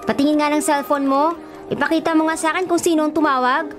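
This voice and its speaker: A woman's voice: sits high, steady tone, fast, over music, natural voice. An actress playing a frank, sensible young woman in a Filipino radio drama. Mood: firm, accusing.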